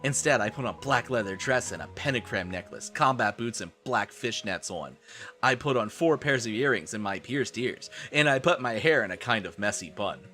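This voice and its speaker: A male streamer with a bright, forceful voice and a highly animated delivery. He reads fan fiction theatrically and keeps breaking off into exasperated joking asides. Light music plays behind him. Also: moderate pace. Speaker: live streamer.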